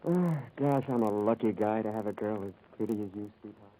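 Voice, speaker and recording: A man performs a boyish young soldier, sweet on his girl, in an old radio commercial. His voice is extremely dark and soft-edged. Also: fairly low pitch, a little fast, close to an everyday voice, low-quality recording. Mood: tender, reluctant. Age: young adult. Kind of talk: 1940s radio ad acting.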